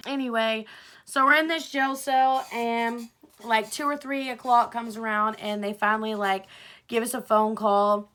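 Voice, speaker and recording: A woman's voice: fairly high pitch, fairly even intonation, a little slow, fairly bright tone. A young adult woman vlogger telling a personal story in a casual, chatty, slangy way, with swearing and acted-out dialogue. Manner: informal slangy talk with frequent swearing, acts out dialogue.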